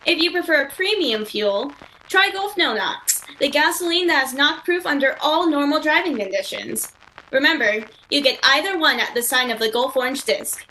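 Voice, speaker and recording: Woman as gee-whiz young male announcer, high-pitched, bright, eager, vintage radio style, slight noise. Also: expressive, moderate pace, rough recording. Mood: peppy, selling. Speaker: sponsor announcer. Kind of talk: 1940s radio acting and sales pitch.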